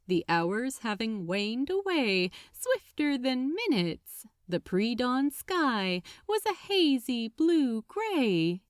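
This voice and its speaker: A woman narrates fiction in a measured, expressive way. Her pitch rises and falls freely over a slow pace, in a close, dry recording. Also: dry recording. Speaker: audiobook-style fan fiction narrator. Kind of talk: scripted story narration.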